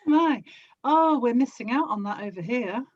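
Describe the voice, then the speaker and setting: Gentle woman guest, slightly dull lo-fi sound. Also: on the high side, expressive, normal speed. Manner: gentle, giggly. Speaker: podcast guest, audio drama producer. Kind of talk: casual chat.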